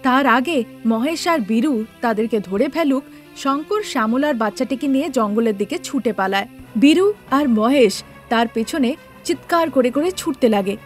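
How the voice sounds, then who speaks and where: A woman's voice: high voice, animated, normal speed. A woman narrating a scripted cartoon story as a storyteller. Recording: music bed under the voice.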